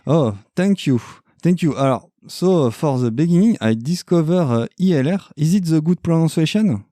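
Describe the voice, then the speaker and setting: A man's voice: fairly high pitch, lively intonation, moderate pace, dark tone, no room sound, studio quality. A man with a French accent hosting a podcast interview in English, hesitant with many fillers. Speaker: podcast host. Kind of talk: casual interview.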